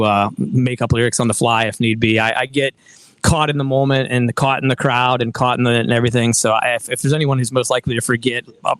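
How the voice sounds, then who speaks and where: Earnest American band member, dry sound. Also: male voice, medium pitch, a bit bright, dry recording. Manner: earnest, halting with restarts. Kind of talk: casual interview. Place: bowling alley venue.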